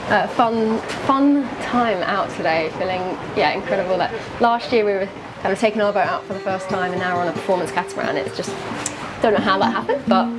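A young woman hosts a sailing vlog with a bubbly, chatty delivery. Her voice sits in a middle pitch and breaks into laughs between phrases, over soft background music. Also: brisk, low-quality recording. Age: young adult. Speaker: vlog host. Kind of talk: casual vlog narration and chat. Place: cabin of a sailing catamaran.

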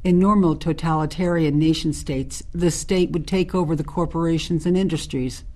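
A woman playing a tough, outspoken ex-military forest ranger in a radio drama, speaking in a measured, emphatic way. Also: sits low, fairly even intonation, darkish tone, lightly acted. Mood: grave, critical.

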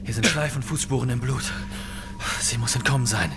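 An actor playing a tense, sardonic polar-station scientist in an audio thriller, speaking formally and with urgency. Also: male voice, mid-range voice, fairly quick, music in the background, natural voice. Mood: alarmed, urgent.

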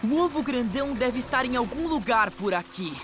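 A woman's voice: a little high, animated, moderate pace, natural voice. A woman voicing a naive, earnest male delivery motorbike in a children's cartoon, self-important and easily deflated. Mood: proud.

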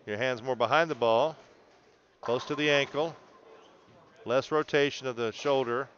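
A man's voice: medium pitch, measured pace, fairly bright tone, muffled recording, slight background noise. A man coaching a student through video analysis in an encouraging, explanatory way, often checking in. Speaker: bowling coach.

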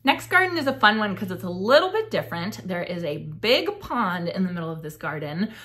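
A woman's voice: sing-song, a little fast, a bit bright. A woman in her thirties hosting a gardening show from her home office, chatting casually and gushing over viewers' gardens. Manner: gushing, enthusiastic, exclamations with rising pitch. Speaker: gardening channel host. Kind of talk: casual chat reading viewer submissions.

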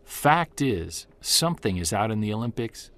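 An earnest Bigfoot hunter who narrates his own audio drama in the first person. He talks in a measured, steady way and pushes back at his doubters. His voice sits on the low side. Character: earnest male Bigfoot investigator, defiant toward skeptics. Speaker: narrator and lead character.

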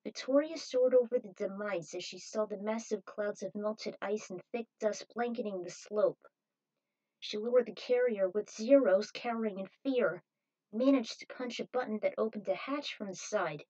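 A woman's voice: mid-range voice, moderate pace, a little mellow, lo-fi sound. A woman reading a scripted adventure story aloud as narrator, in a dramatic manner.

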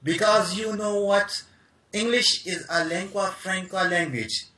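A man's voice: high-pitched, measured pace, sharp tone. A young man with a Pakistani accent, earnestly listing reasons on a video call. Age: young adult. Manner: earnest, emphatic, lists things out. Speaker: caller. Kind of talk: eager video-call interview. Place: dim room indoors. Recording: echoey room.

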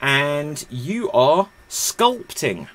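A man's voice: on the high side, very animated, very slow, crowd noise. A man hosting an online improv comedy game over a video call, wearing a headset and announcing the rounds in an upbeat way.

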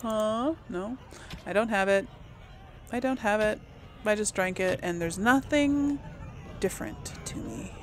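A woman's voice: mid-range voice, unhurried, a little hiss or hum. A woman streaming a role-playing game, thinking aloud in casual, chatty commentary. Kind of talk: casual let's play commentary.

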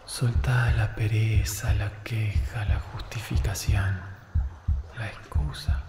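A man leads a guided meditation in a calm, hushed, breathy way, in short phrases with long pauses. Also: lowish voice, in a whisper. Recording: echoey long reverb with clear noise.

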